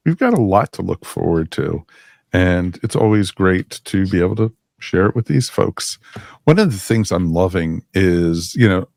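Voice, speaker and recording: A man's voice: low-pitched, creaky, darkish tone, dry recording, clean studio sound. A man hosting a podcast, chatting casually in an enthusiastic, jokey way. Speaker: podcast host.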